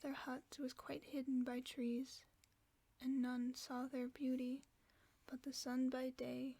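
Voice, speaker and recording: A woman voicing a gentle, protective werewolf friend in a whispered sleep-aid audio roleplay, speaking in a soft, soothing whisper close to the microphone. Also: high voice, completely flat delivery, slow pace, husky, dry recording, heavily acted. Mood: storytelling.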